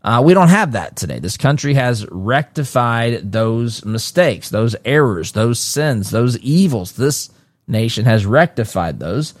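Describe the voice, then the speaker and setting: A man hosting a solo commentary show, reading from an article and breaking in with his own asides in a measured way, with fillers and restarts. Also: medium pitch, very animated, no room sound, studio quality.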